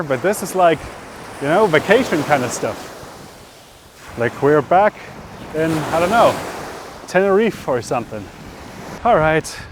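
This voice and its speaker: A man's voice: high-pitched, noisy background. A man hosting a travel vlog, talking casually and conversationally to camera outdoors, often thinking aloud. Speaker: travel vlogger, host. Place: outdoors by roads, lakeside and mountains.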